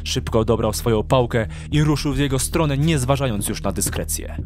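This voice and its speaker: Male narrator for a radio play, medium register, measured storytelling over a music bed. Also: mid-range voice. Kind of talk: scripted audio drama narration.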